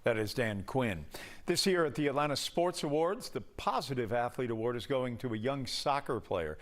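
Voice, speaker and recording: A man's voice: mid-range voice, lively intonation, moderate pace. Upbeat TV sports anchor, man. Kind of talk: scripted news read.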